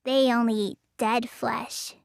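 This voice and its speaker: High-voiced, sulky, slow-talking teenage girl. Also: little pitch movement, gravelly, clean tone, no echo, studio quality. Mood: flat, explaining. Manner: drawling, pouty, stammers when flustered. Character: sulky, lazy teenage girl who claims real magic. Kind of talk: English video game voice acting.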